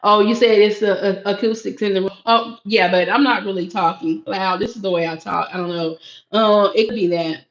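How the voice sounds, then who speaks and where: A woman's voice: mid-range voice, steady tone, fairly quick. A woman hosting a casual live stream from a home room, with a voice that carries. She chats and laughs while reacting to viewer comments. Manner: projecting voice that carries. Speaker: livestream host reading chat. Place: small home room used for crafting and recording, sparse and echoey. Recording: echoey room, dull lo-fi sound.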